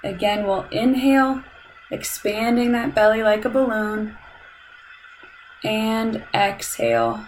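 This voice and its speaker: A woman guides a meditation at a very slow pace, with a medium-pitched voice. Her delivery is calm and soothing, giving measured instructions with long pauses. A light layer of background noise sits behind her. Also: low-quality recording.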